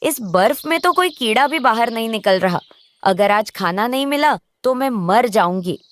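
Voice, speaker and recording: A woman's voice: on the high side, animated, normal speed, natural voice. A woman playing a lazy, selfish crow wife in a cartoon, with dramatic delivery. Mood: complaining, selfish.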